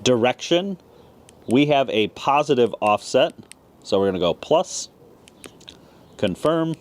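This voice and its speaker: A man's voice: medium pitch, animated, slow. A man giving a casual, plain-spoken, step-by-step product tutorial as a video host. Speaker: ham radio video host. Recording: clear background noise.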